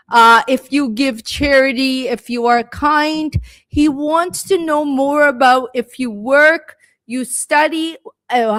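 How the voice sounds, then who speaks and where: A woman with a fairly high voice teaches English on a live stream, speaking slowly and patiently. She greets viewers warmly by name and coaches them through their answers. The sound is close and dry, with no room echo. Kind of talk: live-stream speaking lesson reading chat comments.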